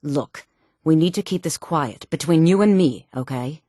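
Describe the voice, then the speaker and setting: A self-assured fantasy game woman with a low, smooth, sultry voice. She speaks with poise and a teasing, condescending air, flirtatious and sure of her own worth. The recording is dry, with no room sound. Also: moderate pace, mellow, no room sound. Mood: secretive. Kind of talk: fantasy video game character dialogue.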